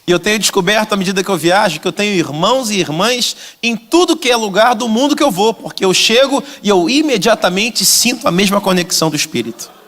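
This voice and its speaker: Male interpreter, high pitched, fast fluent delivery, recorded in a reverberant sanctuary. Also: lively intonation, a bit bright. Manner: quick, fluent, expansive rephrasing. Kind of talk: live sermon interpreting. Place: church sanctuary. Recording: long echoing church reverb.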